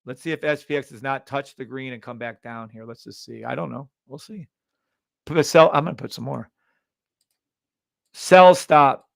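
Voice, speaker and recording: A middle-aged man runs a live trading stream, barking out orders in short bursts and swearing freely. His voice is gravelly but smooth and resonant. The sound is dry and close, with no room echo. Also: medium pitch, normal speed, long pauses. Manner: brash, profane, calls out orders in bursts. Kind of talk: live trading commentary with viewers.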